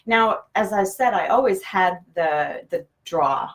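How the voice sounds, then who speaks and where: A woman's voice: medium pitch, expressive, slow pace. A woman from Denmark whose first language is Danish, answering conversationally as an interview guest and therapist.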